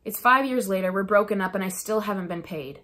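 A woman's voice: medium pitch, fairly quick, a bit bright, recorded from a distance, close to an everyday voice. A young woman playing a fed-up PR manager, dry and sarcastic. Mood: irritated. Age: young adult. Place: family house, indoors. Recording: roomy, off-mic echo.

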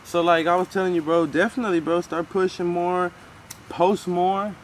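Higher-voiced man, casual and profane. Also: steady tone, normal speed. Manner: profane, encouraging. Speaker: interviewer. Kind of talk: casual slangy chat interview. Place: outdoor backyard, off camera. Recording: outdoor ambient noise.

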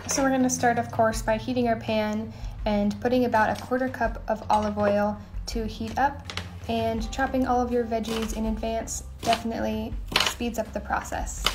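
A young woman hosting her own home cooking show, talking through each step in a relaxed, chatty way with small asides. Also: on the high side, moderate pace, noisy background. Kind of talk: casual cooking voice-over. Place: home kitchen.

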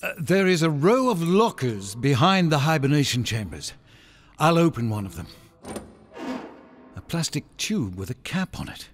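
A man's voice: fairly high pitch, big pitch swings, normal speed, soft background music. An actor playing a scholarly, fussy older professor who dictates his marvelling observations in a sci-fi audio drama. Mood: awed, observing. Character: scholarly older male professor, fascinated, fussy space explorer.

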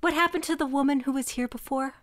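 A woman's voice: high voice, fast. A woman playing fiery Xochitl. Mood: intense. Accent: Mexican American. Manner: intense, swinging from muttering to yelling. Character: fiery young Mexican American woman, Xochitl, vengeful and proud. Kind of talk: audio drama acting.

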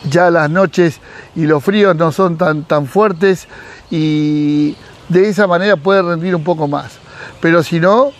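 Man, Argentine Spanish accent, middle-aged, high-pitched, animated, casual vlog, outdoors in a garden. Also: slow. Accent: Argentine Spanish, Mar del Plata. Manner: animated, gesturing, acts out quoted dialogue. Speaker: fish breeder and YouTuber. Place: outdoors in a sunny garden by a pool. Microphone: camera at close range.

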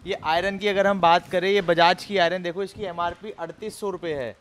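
A man in his thirties, a shop salesman briskly pushing discount deals in a warehouse. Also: high voice, steady tone. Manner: brisk, pushy deal-selling. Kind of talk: sales pitch on a store walkthrough. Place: indoor appliance warehouse.

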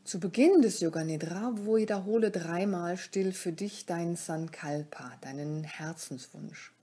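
Slow, soft, breathy woman guiding relaxation. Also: on the low side. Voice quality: soft, breathy, often near-whispering. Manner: calm, soothing, short instructive phrases with pauses. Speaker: yoga nidra teacher leading a guided relaxation. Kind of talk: guided meditation.

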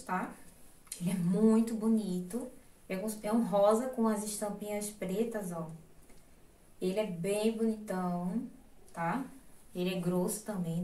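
A woman's voice: mid-range voice, reverberant, distant mic. A young Brazilian woman in her twenties chats casually as she shows thrifted coats to the camera, checking in with her viewers. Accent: Brazilian Portuguese. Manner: chatty, affectionate, tag questions like "tá?". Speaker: vlogger. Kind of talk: casual thrift haul showing clothes. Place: small indoor room with clothes rack.

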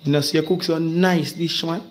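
A young Jamaican man hosts a casual livestream with a slightly high-pitched voice. He is recorded on a handheld phone indoors, with clear background noise. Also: fairly even intonation, fairly quick. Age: young adult. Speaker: livestream host. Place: home kitchen and bedroom, indoors. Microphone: phone held at arm's length.

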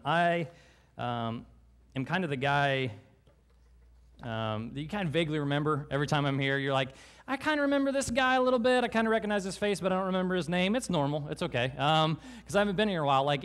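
A man's voice: mid-range voice, a bit bright. A man in his thirties, a guest pastor, gives a casual, joking sermon with many fillers from a church stage on a headset mic. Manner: chatty, joking, lots of fillers. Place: church stage, large hall.

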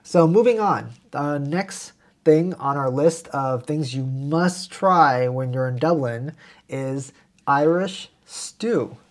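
A man's voice: a little high, takes it slowly. Man, 30s, from the US, travel vlog co-host, casual, eager, stop-start phrasing, lapel mic. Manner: chatty, many fillers and restarts, enthusiastic. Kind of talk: casual food recommendations to camera. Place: indoor home room with plants.

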